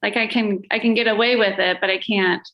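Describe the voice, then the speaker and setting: A woman's voice: mid-range voice, steady tone, brisk, muffled recording. A woman who learned Latvian at home as her first language, talking about herself in a casual interview with frequent little laughs and self-deprecating asides. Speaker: gallery owner and curator, podcast guest.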